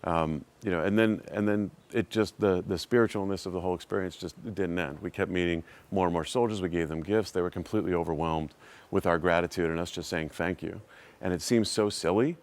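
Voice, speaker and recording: A man's voice: sits low, a little fast. A man in his forties with an American accent, giving an unscripted, reflective and earnest personal account. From Massachusetts, United States. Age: 40s. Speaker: trip participant giving a testimonial.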